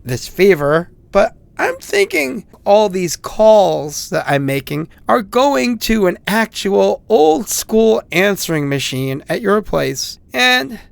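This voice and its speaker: An actor playing a sick, isolated young man who leaves halting, anxious, apologetic voicemails in a horror audio drama. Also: high voice, big pitch swings, creaky, no echo, heavily acted. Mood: weary, suspicious. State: feverish, coughing.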